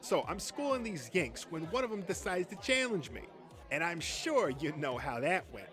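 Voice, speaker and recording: A man's voice: on the high side, sing-song, moderate pace, music in the background. A man acting a boastful, crude male horror fan in a comic dub, telling stories with profane swagger. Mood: cocky.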